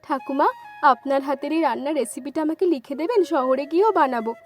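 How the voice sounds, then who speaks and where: A woman's voice: high-pitched, fairly quick, faint music behind, close to an everyday voice. A woman voicing an eager, grateful young granddaughter in a cartoon story. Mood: delighted. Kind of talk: cartoon story dialogue in Bengali.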